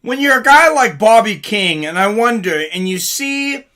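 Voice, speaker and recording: A man with a fairly high, very bright and forward voice hosts a live reaction stream. He talks in a chatty, informal way, greeting viewers, reading out chat and giving casual fight commentary. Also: lively intonation, normal speed.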